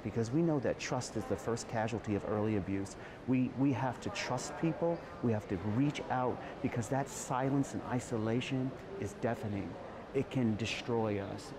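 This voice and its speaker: A man speaking earnestly as an interview guest, at times halting and repeating words. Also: medium pitch, noisy background. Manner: emotional, halting restarts. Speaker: guest, trauma survivor and advocate.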